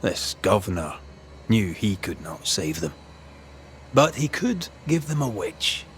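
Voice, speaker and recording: An actor playing a shrewd ghost hunter in a dramatic video game, confronting authority with deliberate, eloquent, accusing speech. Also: male voice, fairly low pitch, very animated, a little hiss or hum. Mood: grave storytelling. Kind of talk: dramatic game storytelling and interrogation.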